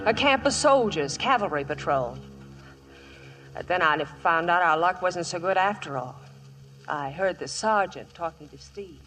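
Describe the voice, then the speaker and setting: A woman's voice: on the low side, expressive, measured pace, fairly bright tone. An actress playing a plucky, wistful frontier woman in an old radio western, both narrating and acting her story. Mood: grave, reflective. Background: dramatic music.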